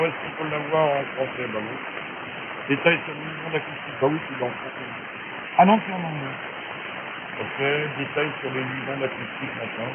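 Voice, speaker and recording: A man talking as an amateur radio operator over shortwave, giving casual technical advice in a chatty, colloquial way. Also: mid-range voice. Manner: colloquial, chatty explaining, frequent tag words. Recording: narrow hissy noisy shortwave radio transmission.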